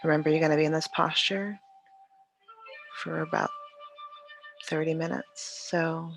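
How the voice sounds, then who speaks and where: Creaky-voiced guided meditation teacher. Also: medium pitch, soft background music. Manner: calm, soothing, unhurried with long pauses. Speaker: yoga nidra teacher.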